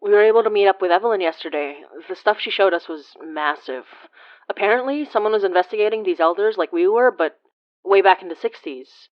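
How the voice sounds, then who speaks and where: A woman in her twenties playing an awkward, self-deprecating young woman in a naturalistic audio drama, rambling haltingly with sighs. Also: medium pitch, dull audio, lightly acted. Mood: apologetic, chatty. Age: 20s. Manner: halting, sighing, rambling confessional. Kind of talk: naturalistic audio drama acting, phone monologue.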